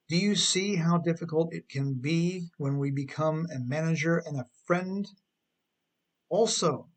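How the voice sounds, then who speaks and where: A man narrating his own scripted text like an audiobook, with an emphatic storytelling delivery full of rhetorical questions. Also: on the high side. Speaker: author reading his own writing.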